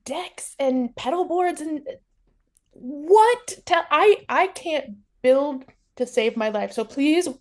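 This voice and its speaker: High-voiced American woman, informal interviewer. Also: lively intonation, takes it slowly, a little mellow. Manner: informal, effusive. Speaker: interviewer, host. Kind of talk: casual chatty interview.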